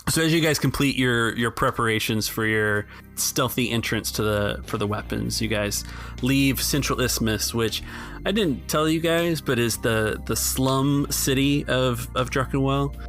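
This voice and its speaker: A man narrating an improvised tabletop game as game master, halting and searching for words as he goes. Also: medium pitch, fairly bright tone, soft background music.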